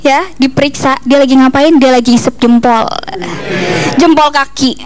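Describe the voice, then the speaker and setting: A woman's voice: high voice, steady tone, normal speed. A young woman from East Kalimantan, Indonesia, performing a stand-up comedy routine into a handheld microphone on a stage. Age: young adult. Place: TV comedy studio stage with audience. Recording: audience ambience noise behind stage mic.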